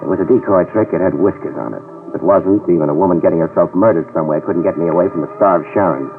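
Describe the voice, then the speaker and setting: An actor playing a tough, sardonic private eye in a 1950s radio drama, trading dry wisecracks. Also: male voice, deep voice, very fast. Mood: hard-boiled. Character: wisecracking hard-boiled male private eye. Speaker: private investigator and narrator. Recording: tinny old radio broadcast with music, mic at a distance.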